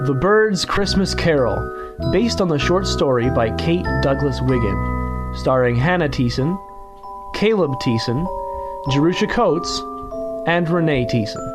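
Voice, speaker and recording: Loud mallet-chime music surrounds a man's fairly high voice. He reads scripted credits as the announcer of an audio drama. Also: expressive, measured pace. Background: loud chiming mallet music.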